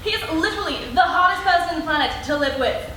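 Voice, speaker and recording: A woman's voice: very high voice, rapid-fire, bright, forceful tone. A woman acting an irritable superheroine who complains scornfully, in a ranting comic stage style. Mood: irritated, scornful. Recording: very echoey hall, distant mic, audience noise.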